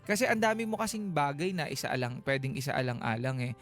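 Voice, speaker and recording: A man's voice: a little high, expressive, fairly quick. A man with a Filipino accent co-hosting a radio advice show, talking casually in emphatic, playful Taglish with frequent rhetorical tags, in a studio. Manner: emphatic, playful, Taglish code-switching, rhetorical tag questions. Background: background music.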